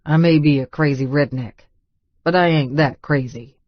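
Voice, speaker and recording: A man's voice: on the high side, moderate pace, no room sound, lo-fi sound, close to an everyday voice. A man playing a blunt, stubborn redneck billionaire in an audiobook. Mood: matter-of-fact, cocky. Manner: folksy, blunt, colloquial. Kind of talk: audiobook character dialogue.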